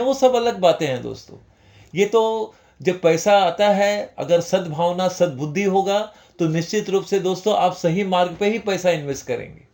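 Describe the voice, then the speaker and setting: Man explaining stock investing, higher voice. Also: darkish tone. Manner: conversational, repeats "dosto", mixes English terms. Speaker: stock market educator. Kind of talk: informal finance talk to viewers.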